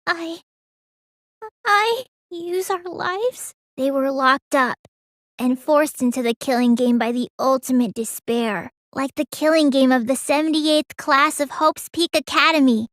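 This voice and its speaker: A woman voicing a small, gloomy, stubborn teenage girl mage in an English video game dub, drawling when calm and stammering when upset. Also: high-pitched, measured pace, no echo, studio quality. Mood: subdued, serious.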